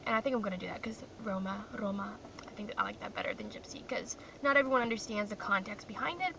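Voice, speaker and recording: A woman's voice: mid-range voice, fast talker. A young woman who grew up in Croatia, chatting casually and rambling to her phone camera in a parked car, often poking fun at herself. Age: young adult. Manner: chatty, rambling, self-deprecating. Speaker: vlogger. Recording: distant mic with faint car noise.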